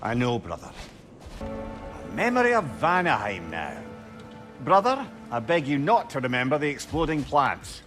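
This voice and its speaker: An actor playing a wise, talkative older companion in a video game, with a Scottish accent and a wry, gently persuasive manner. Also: male voice, medium pitch, wildly swooping pitch, normal speed, soft background music. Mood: shaken, wry. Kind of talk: video game dialogue acting. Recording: game score behind the voice.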